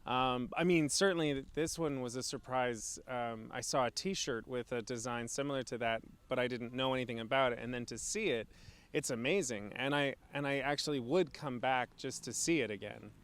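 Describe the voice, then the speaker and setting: A man's voice: medium pitch, normal speed, fairly bright tone, clear, resonant tone. A man visiting the town, speaking casually and tentatively in an interview with many fillers.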